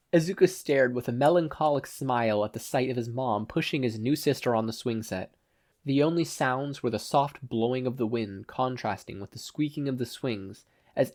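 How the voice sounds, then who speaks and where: A man reads fiction aloud in a medium-pitched voice. His delivery is scripted storytelling, in the manner of an amateur audiobook narrator. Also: a little mellow. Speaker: podfic narrator.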